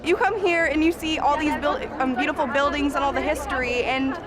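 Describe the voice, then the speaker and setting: 18-year-old female student from Ohio, high and gushing, loud crowd around her. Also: brisk, over loud music. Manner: excited, gushing. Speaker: student in a study abroad program. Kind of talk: enthusiastic testimonial.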